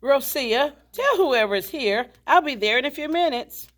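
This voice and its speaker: Genteel, high-voiced chatty older hostess read by a woman. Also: animated, unhurried, a bit bright. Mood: politely instructing. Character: Miss Mary, genteel older widowed hostess, chatty and gracious. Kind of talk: stage play table read.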